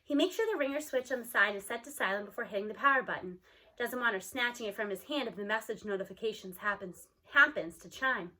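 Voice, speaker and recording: A woman reading a storybook aloud as narrator, expressively, with an animated manner for young listeners. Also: a little high, fast talker. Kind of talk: expressive storybook read-aloud with character dialogue.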